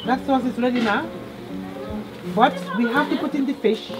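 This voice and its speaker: A woman's voice: a little high, normal speed, rough recording. A middle-aged woman with a Gambian accent, explaining cooking and local life as she hosts. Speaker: host, cook and charity founder. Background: background music.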